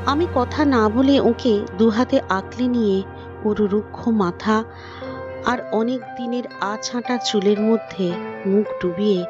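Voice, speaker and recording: A woman's voice: fairly high pitch, unhurried, muffled recording, lightly acted. A woman voicing a tender, motherly wife who narrates her married life reflectively and intimately in an audio story. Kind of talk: dramatised audio story narration in first person. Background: prominent music.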